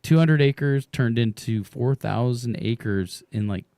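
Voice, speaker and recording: A man hosting a casual radio interview, his voice a bit hoarse, talking in a rambling, relaxed way with lots of fillers. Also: mid-range voice, slow pace, dry recording. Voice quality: hoarse from smoke. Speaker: radio show host. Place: radio studio.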